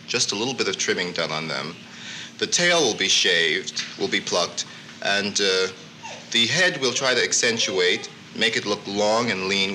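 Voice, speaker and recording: A man's voice: fairly low pitch, pushed and bright, slight background noise, low-quality recording. A man explaining options to a customer in a plain-spoken, matter-of-fact way. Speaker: dog groomer.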